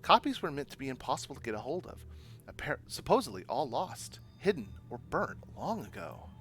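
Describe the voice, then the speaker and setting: A man reads as a young slacker woman narrating a visual novel. The tone is wry and awkward, the pitch jumps around freely, and music sits behind. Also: sing-song, brisk, fairly bright tone. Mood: doubtful. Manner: wry, self-deprecating.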